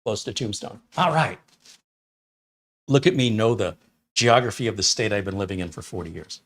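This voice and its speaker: A talkative man in his fifties or sixties with a fairly low voice, from Detroit. He hosts a relaxed live tech stream from a small studio room, rambling between tasks and giving his opinions bluntly. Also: moderate pace. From Detroit, USA. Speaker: tech reviewer host. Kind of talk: casual live-stream chat answering viewer questions.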